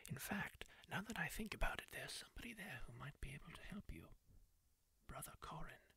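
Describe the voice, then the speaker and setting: A man whispering as a kindly masked male wanderer who gently reassures a wounded stranger, with musing asides. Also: fairly high pitch, very animated, brisk, creaky, full character voice. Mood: soothing. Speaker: ASMR roleplay creator. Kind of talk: whispered ASMR roleplay.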